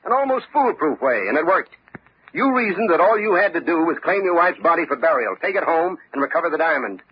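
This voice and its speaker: An actor playing a shrewd, unflappable police lieutenant in a radio detective drama, speaking methodically and with confidence. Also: male voice, fairly high pitch, big pitch swings, fairly quick, slight background noise. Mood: coolly accusing. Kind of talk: old-time radio detective drama acting. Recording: dull vintage radio recording.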